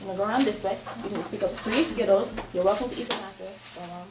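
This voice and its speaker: A woman's voice: mid-range voice, fast. A woman in her twenties facilitating a student group meeting, earnest and prayerful, with many fillers. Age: 20s. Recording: echoey room, distant mic, background noise.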